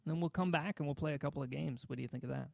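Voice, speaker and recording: Man in his 40s, show host, fairly high voice, dry close sound, chatty, easy laughs. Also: very fast, vocal fry, a little mellow, no room sound. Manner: chatty, laughs often, lots of fillers. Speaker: podcast host. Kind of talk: casual comedic chat.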